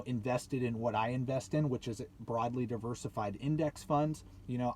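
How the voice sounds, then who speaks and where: A man's voice: medium pitch, darkish tone. Emphatic young man vlogging over background noise. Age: late 20s. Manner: emphatic, repeats points, rhetorical questions. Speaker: YouTube channel host. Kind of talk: motivational vlog talk to viewers.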